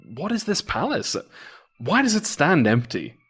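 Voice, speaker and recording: A man's voice: fairly high pitch, huge pitch swings, measured pace, fairly bright tone, breathy edge, pitched above the performer's own voice, heavily acted. A man voicing a bold, cocky discharged Russian soldier in a folktale, speaking confidently. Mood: curious.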